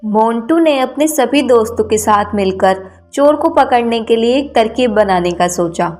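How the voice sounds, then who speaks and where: A woman's voice: fairly high pitch, lively intonation, unhurried, darkish tone, faint music behind. A woman reading scripted narration for a children's story as the storyteller.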